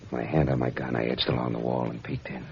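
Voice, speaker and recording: An actor with an American accent playing a tough, wisecracking private detective in a vintage radio drama, talking in sardonic, cynical slang. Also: male voice, extremely low pitch, little pitch movement, fast, gravelly, husky, full character voice. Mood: tense narration. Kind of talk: hardboiled 1940s-50s radio detective acting and narration. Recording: muffled vintage radio recording, music underneath.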